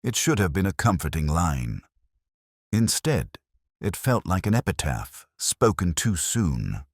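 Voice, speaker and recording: Brooding older detective , played by a man: very deep voice, wide melodic range, reflective first-person drama narration, close dry sound. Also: a little mellow, no room sound, heavily acted. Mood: somber. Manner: measured, literary, reflective.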